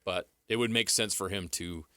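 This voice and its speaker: A man's voice: deep voice, steady tone, moderate pace, pushed and bright. An American man co-hosting sports talk radio, giving casual analysis in an assured, plain-spoken, explanatory way.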